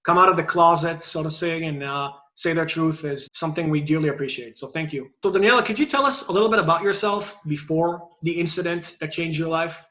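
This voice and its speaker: A man hosting an interview, speaking in an earnest, measured and supportive way. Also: fairly high pitch, bright, forceful tone, lo-fi sound. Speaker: host. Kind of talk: interview host.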